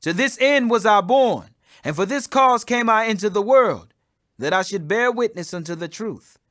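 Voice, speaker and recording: Guy doing a resolute bible teacher facing accusers, high bright voice, firm n declamatory. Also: animated, normal speed, dry recording, studio quality. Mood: solemn, declaring.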